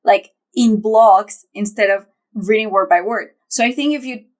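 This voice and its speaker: A woman's voice: medium pitch, moderate pace. A young woman co-hosting a podcast, chatting casually and thinking aloud, with lots of fillers and restarts. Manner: thoughtful, rambling with many fillers and restarts. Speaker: podcast co-host. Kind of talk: casual chat.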